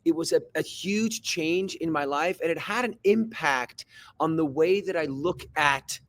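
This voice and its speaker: A man from Switzerland, a podcast guest who is an actor and coach, telling a personal story in a thoughtful, halting way with many repeated words. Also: a little high. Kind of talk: reflective personal story in conversation.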